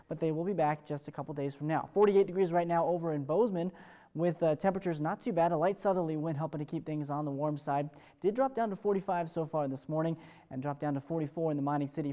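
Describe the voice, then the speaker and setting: An American man delivers a television weather forecast at a brisk clip. His voice is fairly high for a man and softly mellow, and his delivery is chatty and upbeat, like an ad-libbed morning show segment.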